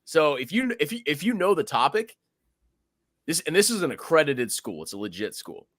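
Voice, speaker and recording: A man with a deep voice, hosting a casual chat. His pitch swings widely and his tone is bright and forceful. The sound is close and dry. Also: normal speed, dry recording. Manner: joking, laughing often, animated. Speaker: host.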